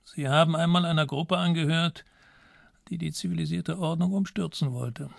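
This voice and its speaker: Courtly old franco loyalist, gravelly and husky, fairly high voice, formal radio play talk. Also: male voice, moderate pace, dry recording, studio quality. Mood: measured. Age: older. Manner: formal, courteous, quietly ideological. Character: older small Spanish man, dapper Franco loyalist.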